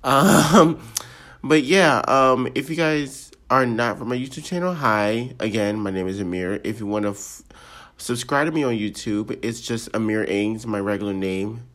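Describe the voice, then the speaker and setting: A man's voice: medium pitch, normal speed, a bit bright. Rambling, giggly nineteen-year-old guy. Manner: rambling, self-deprecating, lots of ums and giggles. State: sick, voice a bit off. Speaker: podcast host. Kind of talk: casual solo podcast intro.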